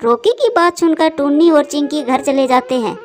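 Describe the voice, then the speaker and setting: High-voiced woman narrating a children's story. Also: animated, normal speed, soft background music.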